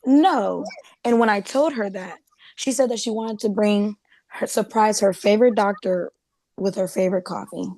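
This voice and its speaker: Woman playing a mellow, chatty office assistant. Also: medium pitch, moderate pace, no room sound, natural voice, poor audio. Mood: defensive, gossipy. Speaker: actor in a script table read. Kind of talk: dramatic script reading.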